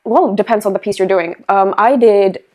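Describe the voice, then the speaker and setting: A young woman, an artist guest talking in a casual interview, bubbly and quick to giggle. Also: mid-range voice, warm tone. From Finland. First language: Finnish.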